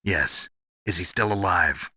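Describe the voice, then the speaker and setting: A world-weary special forces veteran from a video game. His voice is deep and gravelly with a hard, very sharp edge, recorded close and dry. He speaks in terse, deadpan phrases. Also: a little slow, no room sound, heavily acted. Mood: grim, businesslike. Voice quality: gravelly, husky. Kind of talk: dramatic video game voice acting.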